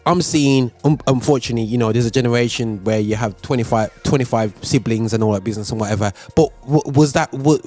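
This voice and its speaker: A man hosting a radio show interview, talking in an animated, chatty way full of fillers. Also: medium pitch, quick pace, few pauses, faint music behind.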